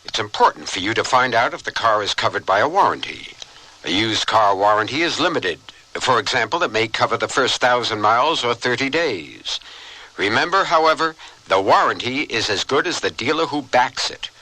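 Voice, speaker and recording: A man's voice: medium pitch, expressive, normal speed, sharp tone, low-quality recording. A man reading a scripted radio public service announcement, as an advisory announcer.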